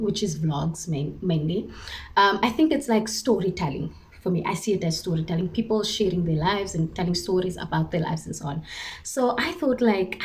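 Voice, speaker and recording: A woman's voice: fairly low pitch, darkish tone. Chatty Cape Town woman in her twenties. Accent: Cape Town South African English. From Cape Town, South Africa. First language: Afrikaans. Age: 20s. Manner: chatty and self-mocking, laughs often, drops to whispers. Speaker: vlogger, primary school teacher. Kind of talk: casual chat to camera.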